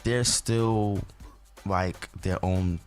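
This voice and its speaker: A man's voice: deep voice, steady tone, extremely slow, faint music behind. A man from Barbados with an accent that slips between Bajan and American, answering interview questions in a laid-back, rambling way full of fillers. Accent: Barbadian mixed with American. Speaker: soca artist.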